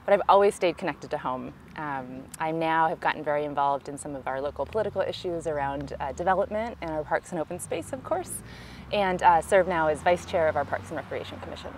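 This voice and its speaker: Casting: Californian woman, low voice, measured explanatory interviewee, local candidate type, recorded outdoors over faint background noise. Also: animated. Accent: Californian American. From California, United States. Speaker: city council candidate, interviewee. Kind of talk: conversational political interview answers. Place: outdoors in a park.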